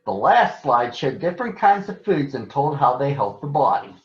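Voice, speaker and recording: A man reading a children's story aloud in a deliberate, expressive storytelling manner. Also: mid-range voice. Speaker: storybook reader for children on a recurring show. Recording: echoey room, slightly dull sound.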